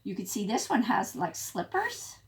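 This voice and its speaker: Very animated woman reading to kids. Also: medium pitch, normal speed. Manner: explanatory, expressive, child-directed. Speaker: teacher reading a picture book to young children. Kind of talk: animated read-aloud storytelling.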